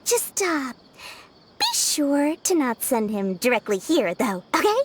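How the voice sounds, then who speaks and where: A woman voicing a greedy, cheerful young female merchant with a coaxing sales-pitch manner in a video game. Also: very animated, unhurried, slightly rough, heavily acted. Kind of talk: game voice acting.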